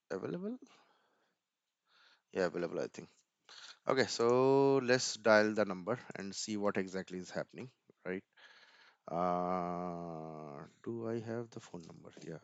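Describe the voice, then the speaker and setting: A man's voice: low voice, unhurried, vocal fry, pauses often, clear, resonant tone, no room sound, lo-fi sound. A man giving an informal screen-share tech walkthrough, thinking aloud hesitantly and often repeating words. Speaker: tutorial presenter.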